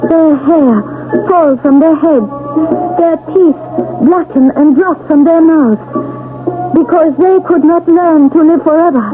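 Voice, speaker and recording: An actress playing a troubled wife in a trance, speaking in a solemn, halting, dramatic manner in a 1970s radio mystery. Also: female voice, sits high. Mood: bleak, ominous. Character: troubled wife in a trance, bleakly foretelling doom. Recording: tinny old radio broadcast, music behind.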